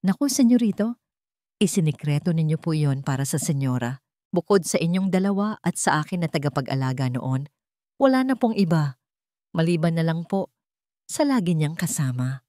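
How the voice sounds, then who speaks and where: A woman's voice: low-pitched, lively intonation, normal speed, warm tone, no echo, studio quality. Female narrator as elderly male gardener, humble loyal servant, polite and deferential, audio drama story. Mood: respectful, reflective.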